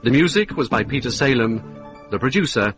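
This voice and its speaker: A man's voice: medium pitch, animated, brisk. A man reading closing credits as a scripted radio announcement. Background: music.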